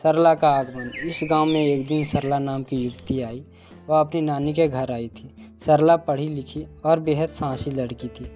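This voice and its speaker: A man's voice: on the high side, fairly even intonation, unhurried, music in the background. A man narrating a scripted cartoon folk tale as storyteller.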